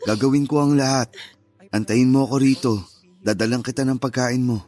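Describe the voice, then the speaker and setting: A man playing a gentle, protective grieving husband in a Filipino radio drama, with a soothing, earnest manner. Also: medium pitch, steady tone, a little fast, music in the background, natural voice. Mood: reassuring, earnest.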